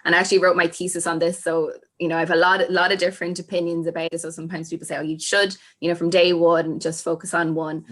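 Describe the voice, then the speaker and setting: A woman's voice: fairly low pitch, level tone, quick pace, hardly any pauses, fairly bright tone. A woman, a language teacher, chatting on a panel with plenty of you-knows. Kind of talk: casual panel discussion.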